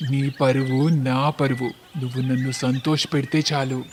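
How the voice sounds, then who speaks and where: A man's voice: medium pitch, flat delivery, a little slow, a little hiss or hum, lightly acted. A man voicing a lecherous, scheming young village blackmailer in a cartoon moral story, leering and insinuating. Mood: sly, menacing.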